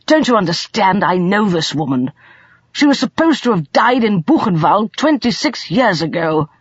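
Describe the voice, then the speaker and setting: Lady reader doing an older uncle from a novel, firm and emphatic, husky, swoopy pitch, slightly dull sound. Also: very animated, normal speed, dry recording. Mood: shaken, urgent. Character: older uncle, practical, firm, shaken by memory.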